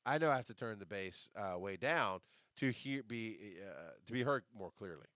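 A man hosting a podcast, telling a story in casual, profane, animated fashion and acting out what people said, with a deep, bass-heavy voice. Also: normal speed, no room sound, studio quality. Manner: animated, profane, acts out quoted lines. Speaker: podcast host.

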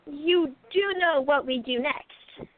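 A woman's voice: high voice, takes it slowly, dry recording. A woman playing a bold, resolute sleuth who speaks firmly, on a tinny phone-like line. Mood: determined. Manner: firm, determined.

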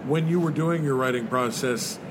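Give me a man hosting a chat, asking long easygoing questions over clear street noise. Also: medium pitch, normal speed. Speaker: podcast host. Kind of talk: interview conversation. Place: noisy spot near traffic. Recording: background traffic noise.

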